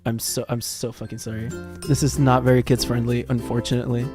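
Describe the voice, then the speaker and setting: A man's voice: medium pitch, level tone, a little fast, music in the background. A man streaming live, reacting to a video and chatting loosely with viewers. He laughs often, swears, and repeats words as he talks. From Costa Rica. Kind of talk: casual live-stream commentary.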